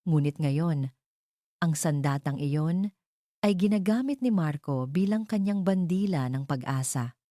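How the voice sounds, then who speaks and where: A woman narrating a scripted love story in a deliberate, melodramatic way. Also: low-pitched, lively intonation, mellow, no echo, clean studio sound.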